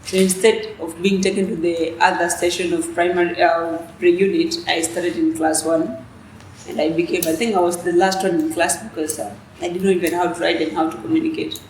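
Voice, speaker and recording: A young woman from South Sudan, a native Dinka speaker, answering interview questions in a soft, hesitant way with many restarts. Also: lowish voice, flat delivery, fairly quick. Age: young adult. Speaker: student leader guest. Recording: echoey room with faint noise.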